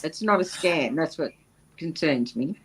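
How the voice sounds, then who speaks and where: A woman's voice: sits low, animated, unhurried, crowd noise. A woman with an Australian accent giving brief, cautious replies in a casual chat. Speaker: collab co-host.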